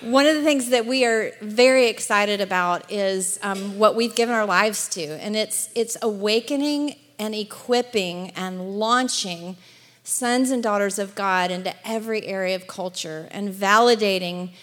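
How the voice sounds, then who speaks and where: A middle-aged woman with a Southern US accent, chatting warmly from the pulpit with frequent laughs. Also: medium pitch, measured pace. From Alabama. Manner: chatty, upbeat, laughs often. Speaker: guest speaker. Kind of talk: church address. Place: church sanctuary. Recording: long church hall reverb.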